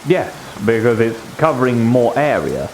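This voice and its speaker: A man's voice: medium pitch, very animated, normal speed, a little mellow, a little hiss or hum, low-quality recording. A man playing a patient, one-eyed shield fighter who explains and cautions, in improvised fantasy roleplay. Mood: patient, instructive.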